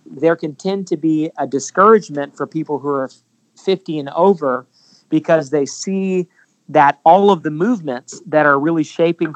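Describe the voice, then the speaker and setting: A man's voice: on the high side, no echo, rough recording. A man who is a worship leader, answering interview questions earnestly and explaining his points with homely examples.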